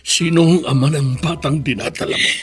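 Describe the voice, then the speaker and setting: A man playing an old grandfather, gentle with family but stern as a father, in a Filipino radio drama, with a folksy, husky way of talking. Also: a little high, brisk, faint music behind, natural voice. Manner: folksy, sprinkles "eh".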